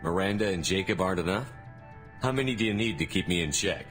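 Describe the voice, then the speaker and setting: An actor playing a resolute, fair-minded military commander in a video game, direct and no-nonsense. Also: male voice, low voice, expressive, fast talker, a bit bright, soft background music. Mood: firm, challenging. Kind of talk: cinematic video game acting.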